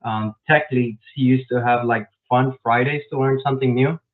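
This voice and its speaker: A man raised in Miami answering interview questions about his work as a software developer, explaining step by step with frequent "um" fillers, over a video call. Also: medium pitch, fairly even intonation, normal speed, a crowd in the background. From grew up in Miami, Florida. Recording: tinny phone-like online call.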